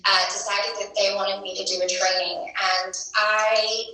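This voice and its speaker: A woman's voice: medium pitch, flat delivery, measured pace, bright, forceful tone. A woman in her twenties with a mixed British and Australian accent gives a candid, self-deprecating personal talk to an audience in a conversational way. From grew up in the UK and Sydney, Australia. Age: 20s. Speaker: speaker at a business retreat. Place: large room before an audience of two hundred. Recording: echoey room, picked up from far off.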